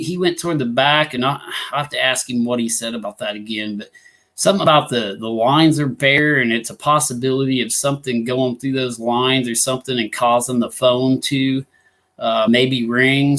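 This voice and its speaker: A man's voice: medium pitch, fairly bright tone. A man from Illinois hosting a live talk show, chatting casually and rambling with many fillers and restarts. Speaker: ghost hunting group host.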